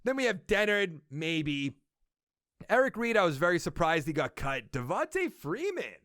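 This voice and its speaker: A man's voice: fairly high pitch, sing-song, moderate pace, a bit bright, no room sound, studio quality. A young man with a Boston accent hosting a casual sports podcast, rambling and thinking aloud as he corrects himself. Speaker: podcast host.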